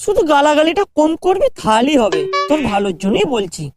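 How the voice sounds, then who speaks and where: Someone voicing a very high, quick, earnest cartoon schoolboy. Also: sing-song, music in the background. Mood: concerned, chiding. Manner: questioning, mildly scolding. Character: earnest well-behaved schoolboy, naive top-of-class pupil. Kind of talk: comedy cartoon dialogue.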